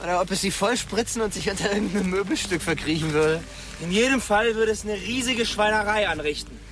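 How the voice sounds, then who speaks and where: Man playing a high-voiced stoned teenage prankster. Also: brisk, background noise, close to an everyday voice. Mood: giddy. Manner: slangy, youthful. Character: stoned, goofy, excitable teenage boy prankster. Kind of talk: naturalistic radio play dialogue.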